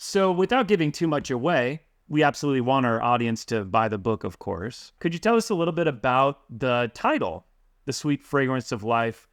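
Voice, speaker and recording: A man's voice: mid-range voice, animated, moderate pace, dry recording, studio quality. A man co-hosting a podcast, reading a scripted introduction and then chatting loosely with fillers. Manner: reads the intro crisply, then chats with fillers. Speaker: podcast co-host.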